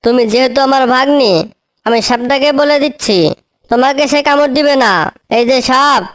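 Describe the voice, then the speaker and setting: A woman's voice: high voice, fairly even intonation, moderate pace, natural voice. A woman voicing a bossy eagle uncle, a cartoon villain with a commanding manner. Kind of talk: children's cartoon story dialogue.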